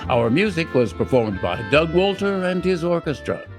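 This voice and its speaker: A man's voice: a little high, big pitch swings, normal speed, over music. A man announcing in a formal, scripted radio sign-off style.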